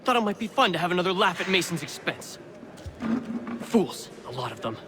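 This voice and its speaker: An actor playing a wry, suspicious colonial prisoner in a video game, talking in an arch, eloquent, theatrical way. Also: male voice, high voice, sing-song, fairly quick, noisy background. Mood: fervent. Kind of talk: video game cutscene acting.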